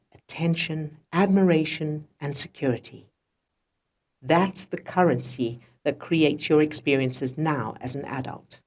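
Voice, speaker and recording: A woman narrating a self-help audiobook as its author, in a measured, instructional way with deliberate phrasing. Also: low voice, darkish tone. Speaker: author reading own audiobook.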